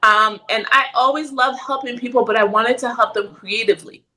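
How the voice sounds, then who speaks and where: A middle-aged woman from Ghana, a podcast guest talking in a casual interview, animated and often ending with "right?". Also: fairly high pitch, brisk, poor audio. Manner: animated, frequent "right?" tag questions.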